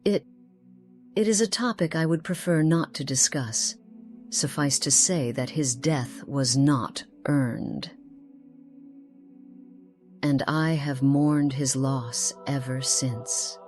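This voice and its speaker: A woman plays an ageless noblewoman from a fantasy game, with a low female voice and a very slow, measured delivery. She is formal and secretive, wistful yet sly, and leaves theatrical pauses. Faint music sits behind her. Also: darkish tone. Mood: mournful, wistful. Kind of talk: scripted game character dialogue.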